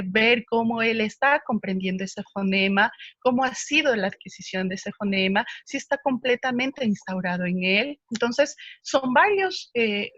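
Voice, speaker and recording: A woman's voice: a little high, a bit bright, no room sound, highs cut off. A woman speech therapist answering viewer questions, explaining patiently with frequent hesitations.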